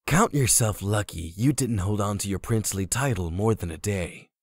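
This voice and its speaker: A man's voice: lowish voice, moderate pace, no room sound, clean studio sound. A man playing a meek, earnest, simple janitor in a comic dub.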